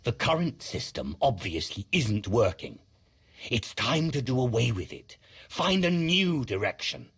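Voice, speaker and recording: A man playing a stern Sith sorcerer leader in a video game, terse and authoritative, with a husky, raspy voice. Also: medium pitch, very animated, a little slow, fairly bright tone, exaggerated voice. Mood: resolute. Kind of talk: video game cutscene acting.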